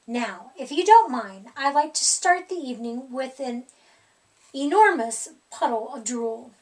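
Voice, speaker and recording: Woman doing a growly, high-pitched, playfully menacing monster. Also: expressive, unhurried, far from the mic. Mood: playful menace. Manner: growling, theatrical. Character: hungry male monster, playfully menacing, fond bedtime scarer.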